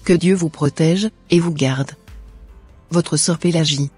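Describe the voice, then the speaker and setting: A woman's voice: low voice, a little slow, warm tone, breathy edge, faint music behind. A woman presenting a scripted outro as a channel host.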